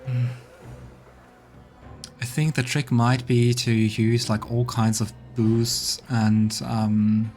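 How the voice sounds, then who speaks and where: A man's voice: mid-range voice, little pitch movement, takes it slowly, a little mellow. Hesitant man musing over a game. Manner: thinking aloud, hesitant, muttering game commands. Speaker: let's play streamer. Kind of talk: casual gameplay commentary. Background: faint game music.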